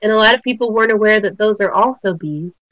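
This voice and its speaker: Woman, biologist, just over a cold, relaxed webinar explaining, dry close recording. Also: medium pitch, fast, no echo. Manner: friendly, informal explaining with frequent ums. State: recovering from a cold. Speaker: guest speaker, bee biologist. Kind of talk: webinar lecture. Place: home in New Mexico.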